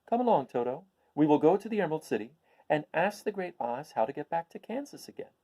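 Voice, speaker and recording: A man's voice: fairly high pitch, very animated, fast, mellow, pitched above the performer's own voice. A man voicing a plucky, curious little farm girl in a storybook, earnest and wondering.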